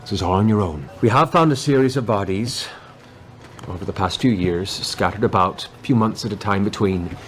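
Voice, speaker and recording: A man's voice: on the low side, moderate pace, a little hiss or hum, close to an everyday voice. A man voicing a guarded, businesslike informant in a tabletop game, speaking in a firm, confiding way. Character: guarded, businesslike male informant bargaining over an investigation.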